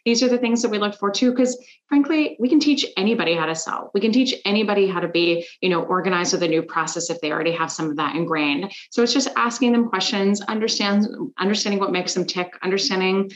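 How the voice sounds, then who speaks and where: A woman's voice: mid-range voice, fast talker, hardly any pauses, muffled recording. A woman business founder answering as an interview guest in an articulate, earnest, explanatory way.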